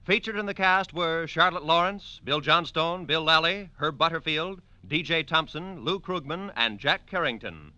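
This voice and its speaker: A man's voice: on the high side, normal speed, few pauses, pushed and bright, no echo, muffled recording. A man reading credits and promos as a scripted 1940s radio announcer.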